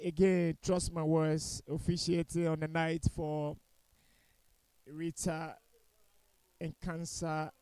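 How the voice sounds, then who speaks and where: A man's voice: a little high, level tone, slow pace, long pauses, dark tone, clean tone, dry recording, low-quality recording. A man giving live football commentary in a critical, opinionated way, repeating points for emphasis.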